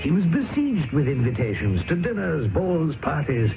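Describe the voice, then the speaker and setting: A man's voice: fairly high pitch, sing-song, moderate pace, gravelly, phone-quality sound, music in the background. An actor playing a worldly, dryly ironic male writer who also narrates a radio drama, on an old broadcast recording. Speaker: narrator and character. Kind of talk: 1970s radio drama acting.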